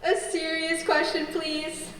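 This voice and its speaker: Woman as a very high-pitched music hall announcer. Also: monotone, slow. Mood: pleading for order. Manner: grand, projected, formal showmanship. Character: grandiose music hall master of ceremonies, easily flustered. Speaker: master of ceremonies. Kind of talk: old-time radio play, stage announcing. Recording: echoey hall, distant, noisy crowd.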